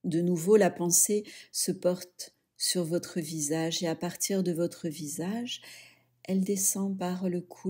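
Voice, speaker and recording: A woman leading a guided yoga relaxation, speaking softly and soothingly in short phrases with pauses. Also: on the low side, husky. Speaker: yoga nidra instructor.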